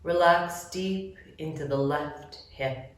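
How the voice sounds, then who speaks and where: Slow-paced woman guiding relaxation in echoey room. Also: deep voice. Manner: gentle, measured instructions with long pauses. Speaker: yoga nidra guide. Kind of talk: guided relaxation meditation. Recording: very echoey room, distant mic.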